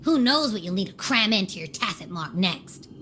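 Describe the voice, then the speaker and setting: A woman voicing a playful, sassy little female companion in a fantasy game, expressive and cheeky. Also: mid-range voice, normal speed, slight background noise, natural voice. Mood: pondering, teasing. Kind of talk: video game voice acting.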